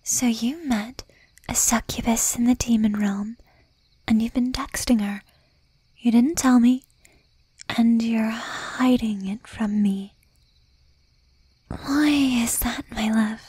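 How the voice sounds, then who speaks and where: A woman voicing a jealous, possessive young demon girlfriend in a breathy, intimate whisper with pointed pauses, for an ASMR roleplay. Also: fairly high pitch, fairly even intonation, mellow, dry recording, full character voice. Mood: suspicious, probing.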